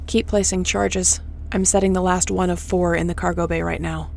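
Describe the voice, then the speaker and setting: Woman as a low, creaky-voiced tough starship captain. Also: little pitch movement, moderate pace, a little mellow. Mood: commanding. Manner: commanding, blunt, sardonic. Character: tough, defiant, protective female starship captain. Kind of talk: sci-fi audio roleplay acting. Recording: faint background noise.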